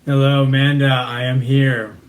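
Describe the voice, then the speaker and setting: A man's voice: mid-range voice, little pitch movement, moderate pace. A man being interviewed as a guest, speaking in an earnest, self-assured way. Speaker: guest, community page founder. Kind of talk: casual interview.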